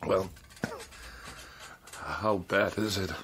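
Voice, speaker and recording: A man's voice: sits low, extremely slow, fairly bright tone, slight background noise, exaggerated voice. A man acting in a radio drama as a querulous, self-pitying hypochondriac patient, demanding, indignant and melodramatic. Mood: anxious, demanding. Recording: music behind the voice.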